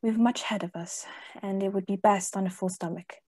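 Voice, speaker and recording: A woman playing a stern, commanding professor in a radio play, speaking in a deliberate, declamatory way. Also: mid-range voice, fairly even intonation, warm tone, husky, no echo, close to an everyday voice. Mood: businesslike. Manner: declamatory, deliberate, scripture-quoting.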